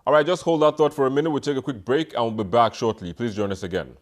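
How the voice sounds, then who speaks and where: Tv host guy, normal voice, quick and to the point. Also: mid-range voice, steady tone. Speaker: television host. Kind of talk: hosting a television interview.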